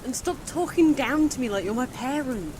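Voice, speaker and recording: A woman's voice: sits high, expressive, moderate pace. A woman playing a hot-tempered, stubborn young woman companion in an audio drama, with a blunt, challenging manner. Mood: defiant. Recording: loud rain and storm noise.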